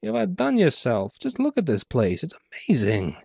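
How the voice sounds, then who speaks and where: A man voicing a theatrical, gloating clockwork gangster boss with taunting, drawn-out phrasing in a noir audiobook. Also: fairly high pitch, huge pitch swings, gravelly, a little mellow, breathy edge, no room sound, voice pushed up, heavily acted. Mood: smug, magnanimous. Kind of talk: dramatic audiobook character voice, noir pulp.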